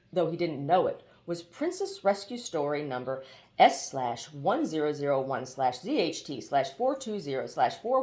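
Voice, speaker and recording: Woman reading a story with very lively intonation. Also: lowish voice. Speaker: audiobook reader. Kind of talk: expressive storybook narration.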